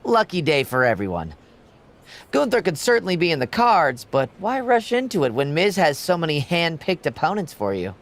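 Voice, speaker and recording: Smarmy game go-between: high voice, swooping, theatrical, mockingly polite. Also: male voice, wildly swooping pitch, moderate pace. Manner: sarcastic, smug, theatrical. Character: smarmy, sarcastic male wrestling management lackey. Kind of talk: scripted video game cutscene acting.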